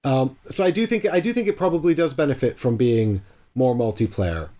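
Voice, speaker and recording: Man, sore throat, halting casual host chat, lots of ums. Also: mid-range voice, lively intonation, warm tone. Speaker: stream host.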